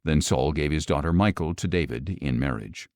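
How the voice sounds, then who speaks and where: A man reading scripture as an audiobook narrator, in a measured, expressive storytelling delivery. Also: very deep voice, no echo, clean studio sound.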